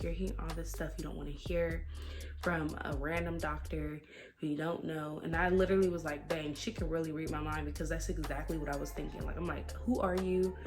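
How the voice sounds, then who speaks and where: A woman talking candidly and confidingly in a conversational personal vlog. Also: low voice. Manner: conversational and confiding, quotes herself and others often. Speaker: vlogger. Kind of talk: candid personal life-update vlog talk. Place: at home.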